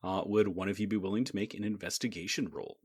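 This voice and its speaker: A man's voice: lowish voice, fairly even intonation, fast talker, darkish tone, slightly rough, no room sound, clean studio sound. A man running a tabletop game as game master, narrating and bantering casually.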